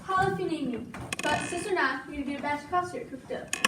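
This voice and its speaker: A woman's voice: high voice, fast talker, natural voice. A woman playing an outspoken, fussy frontier sister in a stage melodrama, projecting emphatically. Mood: brisk. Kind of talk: theatrical stage melodrama acting. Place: indoor small school stage before an audience. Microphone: far camera. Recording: distant echoey stage, loud room noise.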